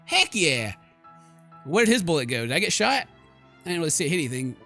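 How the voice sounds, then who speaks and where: A man doing jokey, theatrical let's play commentary, excitable and slangy, reading game text dramatically. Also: a little high, brisk. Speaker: gaming streamer. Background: game music.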